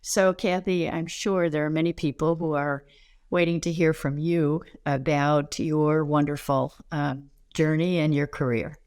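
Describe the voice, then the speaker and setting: An older woman with an American accent, hosting an interview and formally introducing a guest in a measured, slightly halting way. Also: deep voice, fairly even intonation, a little mellow, clear, resonant tone, no room sound, clean studio sound. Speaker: interview host.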